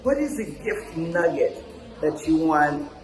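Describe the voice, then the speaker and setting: A man's voice: on the high side, big pitch swings, measured pace, echoey room, music in the background, rough recording. A man from Congo hosting a podcast, asking questions in a playful, prompting way. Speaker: podcast host. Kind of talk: casual interview questions.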